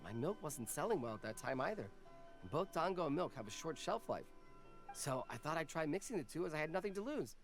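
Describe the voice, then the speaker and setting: Very animated, humble game shopkeeper. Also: female voice, sits low, a little fast, soft background music. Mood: reflective. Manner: hesitant, deferential, earnest. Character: humble, flustered female snack vendor, earnest and deferential. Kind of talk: video game voice acting.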